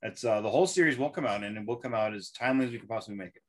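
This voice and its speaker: A man, a guest author, chatting casually about writing in a halting way, often repeating words. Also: lowish voice.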